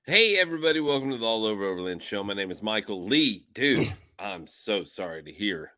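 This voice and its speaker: A man hosting a casual talk show with a lowish, bright, forceful voice. He jokes with energy and repeats phrases as he presses a question, and the sound is close and dry. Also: fairly quick, dry recording. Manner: joking, energetic, repeats himself while asking. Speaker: host. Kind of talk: casual podcast chat.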